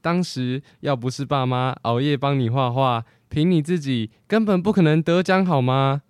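A man's voice: mid-range voice, normal speed, clear, resonant tone, no room sound, clean studio sound, close to an everyday voice. A teenage boy acting a cheeky, unrepentant grown-up younger brother in a radio drama. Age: teens.

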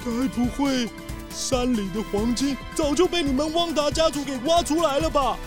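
A woman's voice: sits high, moderate pace, music in the background, close to an everyday voice. A woman voicing a menacing bear villain in a children's audio drama, taunting and threatening. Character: menacing bear villain holding an old man hostage.

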